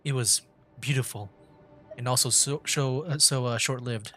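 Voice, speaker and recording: A man's voice: mid-range voice, moderate pace, fairly bright tone, faint music behind. A man livestreaming a video game with casual commentary, musing aloud in a hesitant, informal way.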